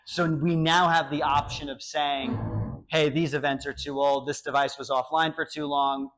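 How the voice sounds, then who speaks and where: A man in his thirties giving an animated technical talk at a meetup in a small cafe, often acting out example dialogue, recorded from a camera at a distance. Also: a little high. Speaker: meetup speaker.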